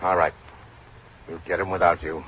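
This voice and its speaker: An actor playing a steady, firm police detective in an old-time radio drama, terse and matter-of-fact. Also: male voice, sits low, moderate pace, natural voice. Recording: muffled, noisy old radio recording.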